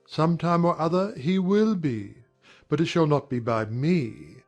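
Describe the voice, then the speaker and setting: An actor playing an aggrieved young gentleman in a dramatized audiobook, speaking in formal, measured, earnest period language. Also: a little high, faint music behind. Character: young gentleman airing polite, wounded grievances. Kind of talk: dramatized audiobook character acting.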